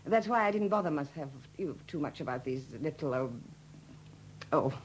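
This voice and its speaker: An actress playing a wry, bitter widow with a husky, expressive voice in a 1970s radio drama. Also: female voice, low-pitched, normal speed, slight background noise. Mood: wry, sardonic. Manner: sardonic, expressive.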